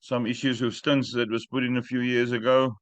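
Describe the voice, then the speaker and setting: A man hosting a group call in English, which is not his first language, talking in a direct, plain-spoken and sometimes halting way. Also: medium pitch, little pitch movement, no echo. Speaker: host. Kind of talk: casual group call chat. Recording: dry muffled call audio.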